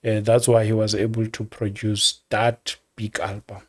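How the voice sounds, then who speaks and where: An older man with a South African accent, a music executive telling stories in a relaxed interview, measured and repeating words as he thinks. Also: fairly low pitch.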